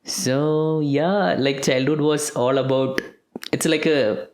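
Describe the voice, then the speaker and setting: A man's voice: on the high side, steady tone, slow pace, a little mellow. A young man, chatting easily as a podcast guest with frequent laughs and fillers. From Kerala, India. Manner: easygoing, laughs often, lots of fillers. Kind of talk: casual interview chat.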